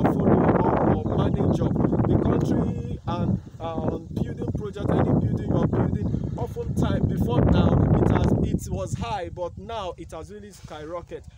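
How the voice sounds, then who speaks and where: A young Nigerian man with a Nigerian accent hosts a farm channel outdoors at a building site, talking animatedly and gesturing with both hands. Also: high voice. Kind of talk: outdoor vlog explanation. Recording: loud wind noise outdoors.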